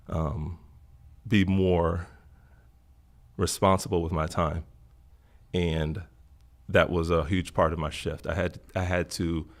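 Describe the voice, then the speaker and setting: A middle-aged man from Florida, a podcast guest speaking earnestly and reflectively, repeating key phrases for emphasis. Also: low voice. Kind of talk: casual interview talk.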